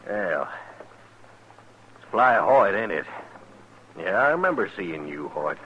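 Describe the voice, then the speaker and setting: A man's voice: low-pitched, sing-song, unhurried. A man playing a brooding, grieving frontiersman with a pointed, accusing manner in a 1950s radio western. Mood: tense, accusing. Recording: dull, noisy 1950s radio recording.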